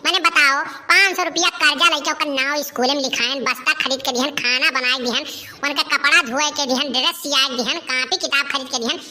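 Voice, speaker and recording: A woman acts out a hot-tempered village mother from a comic cartoon. Her voice is high and piercingly sharp, with an Awadhi accent. She rants, complains and curses like someone worn out by chores and her wayward child. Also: fairly even intonation, a little fast. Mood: aggrieved. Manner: ranting, complaining, cursing.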